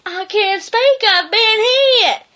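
A woman voicing a timid young male dog with a squeaky, whiny voice, read aloud from a children's storybook. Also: lively intonation, takes it slowly, pushed and bright, full character voice. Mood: whimpering. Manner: whiny, panicked cries.

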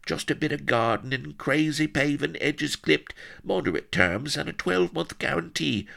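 A crazed old West Country castaway, whines and rambles in a comic storybook tale. The voice is pitched well up and swings about, with a creaky edge. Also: male voice, expressive, normal speed, pushed and bright, heavily acted. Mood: whining, cringing. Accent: West Country English. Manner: rambling, whining, rustic dialect.

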